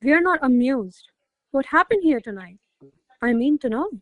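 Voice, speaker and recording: A woman's voice: high-pitched, expressive, normal speed, no room sound, phone-quality sound. A woman playing an imperious, hot-tempered noblewoman scolding her servants in a theatrical radio play. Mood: indignant, sarcastic. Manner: haughty, commanding, theatrical exclamations. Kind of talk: radio play acting of a classic comedy.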